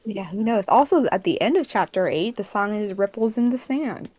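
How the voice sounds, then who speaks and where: A woman's voice: mid-range voice, animated, brisk, no echo, muffled recording. A giggly, rambling woman hosting a casual group podcast. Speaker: podcast host, fandub production crew member.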